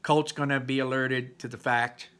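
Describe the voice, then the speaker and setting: Bright-voiced man reading a story aloud. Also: medium pitch, normal speed, clear, resonant tone. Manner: animated, explains and comments to listeners. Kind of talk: storybook reading with chatty asides.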